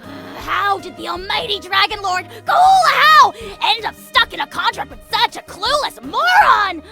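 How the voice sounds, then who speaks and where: A performer voicing a tiny, arrogant, bratty dragon creature in a video game, shrill and scratchy, with bombastic, taunting, theatrical whining. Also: sing-song, moderate pace, gravelly, pushed and bright, soft background music, exaggerated voice. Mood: exasperated, scornful. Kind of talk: animated video game voice acting.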